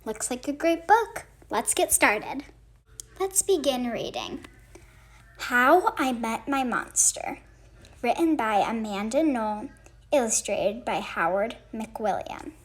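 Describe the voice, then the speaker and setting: A woman reading a picture book aloud in an expressive, halting way with emphatic phrasing. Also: on the high side. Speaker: read-aloud host.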